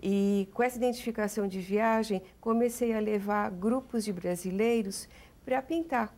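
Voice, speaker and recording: Middle-aged Paulistana artist, talkative guest on a TV interview. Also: female voice, medium pitch, normal speed, darkish tone. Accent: Paulistano Brazilian Portuguese. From São Paulo, Brazil. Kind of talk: relaxed interview answers.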